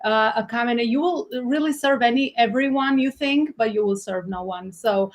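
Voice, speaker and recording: A woman's voice: on the high side, moderate pace. Woman host chatting like a business coach, emphatic, repeats herself to make a point. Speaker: host of a panel interview show. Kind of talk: conversational interview with business coaching commentary.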